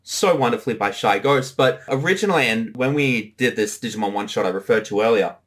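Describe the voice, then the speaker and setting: A show creator giving casual, chatty commentary in an animated way, often slipping into quoted voices. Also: medium pitch, quick pace.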